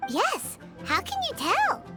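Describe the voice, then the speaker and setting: Very high-pitched cute little girl. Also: wildly swooping pitch, takes it slowly, heavily acted. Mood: excited, curious. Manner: childlike, wide-eyed. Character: cute little girl, earnest, excitable and naive. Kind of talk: video game character acting. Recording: music behind the voice.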